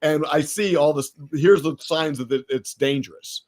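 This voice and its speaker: A man's voice: fairly high pitch, moderate pace, darkish tone. A middle-aged man with an American accent, a guest in a casual interview, telling stories with many fillers and restarts. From United States. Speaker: podcast guest, entrepreneur.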